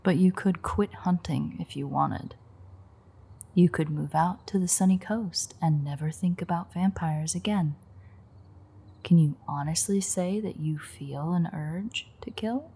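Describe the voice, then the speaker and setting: Husky, teasing vampire woman, acted by a woman. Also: lowish voice, a little slow, warm tone, heavily acted. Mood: challenging. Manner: teasing, sardonic, swearing banter. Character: teasing, playful, predatory adult female vampire. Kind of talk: intimate audio roleplay to one listener.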